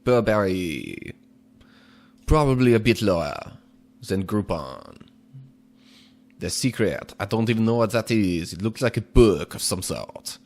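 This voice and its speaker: A man giving casual gameplay commentary while speaking in a put-on, exaggerated foreign accent, measured and deliberate, chuckling now and then. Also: lowish voice, lively intonation, gravelly, darkish tone. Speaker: gaming video host.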